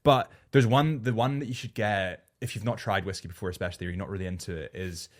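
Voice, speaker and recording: A man's voice: fairly low pitch, quick pace. A man of twenty-eight hosting a podcast, talking in a chatty, rambling way with casual swearing. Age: 20s. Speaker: podcast host. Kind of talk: casual chat.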